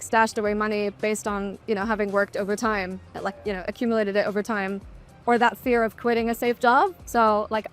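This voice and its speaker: A woman who founded her own company, talking casually on a panel in a matter-of-fact way. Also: mid-range voice.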